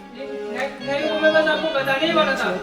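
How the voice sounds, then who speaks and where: A man's voice: extremely high pitch, steady tone, fast. An actor playing a servile, eager-to-please henchman of a village strongman on stage, deferential and flustered. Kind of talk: stage acting. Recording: far-off echoey theatre, loud music, crowd noise.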